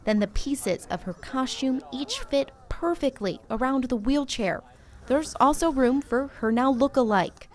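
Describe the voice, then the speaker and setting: A woman's voice: high voice, expressive, measured pace, darkish tone. An American woman reporter reading a scripted narration for a news story.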